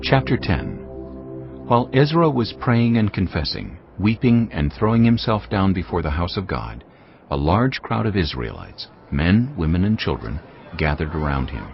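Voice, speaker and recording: A man's voice: low-pitched, very animated, moderate pace, creaky. A man narrating a dramatised scripture reading from a script. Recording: slightly dull audio, faint music.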